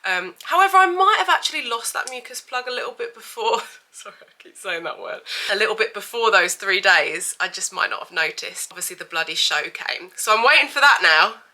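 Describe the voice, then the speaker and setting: A woman's voice: mid-range voice, very animated, a little fast, few pauses, sharp tone. A woman in her thirties with a cold and a blocked-up, sniffly nose, chatting candidly to camera as a vlogger. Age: 30s. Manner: chatty and candid, with frequent fillers. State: has a cold. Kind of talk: casual chat to camera.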